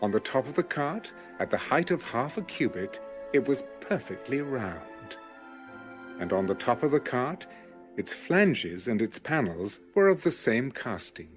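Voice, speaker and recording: A man delivers a scripted scripture reading. His phrasing is measured and formal, yet the pitch moves expressively. Faint music plays behind him. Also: big pitch swings. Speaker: Bible audiobook narrator.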